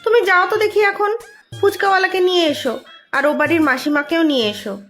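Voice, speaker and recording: A bossy cartoon mother acted by a woman scolds and gives orders in an extremely high-pitched voice. She is lively and exasperated, with faint music behind her. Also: fast talker. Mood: brisk, ordering. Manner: scolding, exclaiming, ordering others about. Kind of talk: cartoon voice acting.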